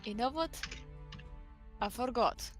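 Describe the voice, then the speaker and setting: A woman's voice: fairly high pitch, slow, faint music behind. A woman playing a tabletop game, speaking in character in a blunt, wry, probing way. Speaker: player.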